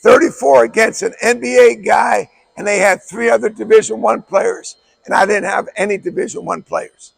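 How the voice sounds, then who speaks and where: Middle-aged man coach, hoarse, raspy and gravelly, blunt and emphatic clinic delivery. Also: high voice, moderate pace, hardly any pauses, poor audio. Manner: blunt, emphatic, repeats key words. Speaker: basketball coach.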